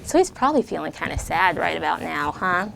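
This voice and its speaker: A middle-aged woman reading a rhyming children's book aloud to a class of schoolchildren, pausing to ask the kids questions. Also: on the low side, gravelly, slight background noise. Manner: expressive rhyming read-aloud with asides to kids. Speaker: guest reader and parent.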